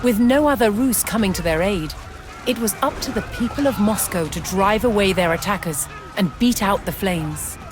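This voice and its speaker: A woman reads scripted historical narration for a game in a measured, dramatic storytelling manner, with deliberate pauses. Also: medium pitch. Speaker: documentary-style game narrator. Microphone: studio voice-over microphone. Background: music.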